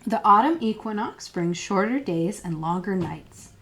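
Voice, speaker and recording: A woman's voice: medium pitch, expressive, measured pace, a bit bright. A woman reading a children's picture book aloud as a storytime librarian, expressively and with questions put to young listeners.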